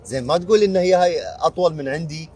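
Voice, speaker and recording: A man with an Iraqi accent conducts a casual interview at a quick pace. He is recorded outdoors, with faint noise behind his voice. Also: fairly high pitch, darkish tone. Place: outdoors on a waterfront promenade.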